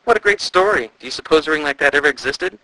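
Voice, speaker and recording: A man's voice: medium pitch, expressive, fairly quick, sharp tone, breathy edge, dry recording, exaggerated voice, rough recording. A man voicing an eager, curious young man in a storybook reading.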